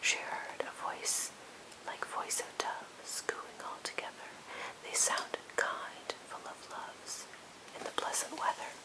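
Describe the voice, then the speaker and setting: A woman whispering a poem for ASMR in a breathy, unvoiced voice, reciting gently and deliberately. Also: low-quality recording. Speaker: ASMR host. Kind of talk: ASMR whispered poetry reading.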